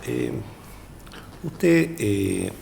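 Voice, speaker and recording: A man with an Argentine accent, sitting on an interview panel as public defender and asking questions in a halting, rephrasing way. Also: mid-range voice, lively intonation, creaky, darkish tone, a little hiss or hum. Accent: Argentine Spanish. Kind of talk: formal questioning.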